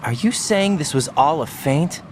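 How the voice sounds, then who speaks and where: An anxious young male operative, animated pitch. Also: measured pace, slight background noise. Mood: alarmed. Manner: urgent, incredulous questioning. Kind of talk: video game voice acting.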